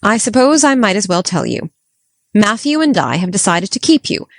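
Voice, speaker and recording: A woman's voice: mid-range voice, very animated, moderate pace, gravelly, no echo, clean studio sound. A woman voicing a strict, no-nonsense middle-aged spinster, clipped and disapproving. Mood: stern. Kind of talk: audiobook character voice.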